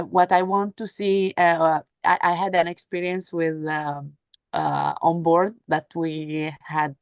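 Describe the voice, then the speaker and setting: A woman whose native language is Arabic, speaking unscripted as a panelist, haltingly searching for words and repeating phrases. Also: on the low side, clean tone, no echo. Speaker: panel speaker, humanitarian sea rescue worker. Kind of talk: unscripted panel talk about work experience.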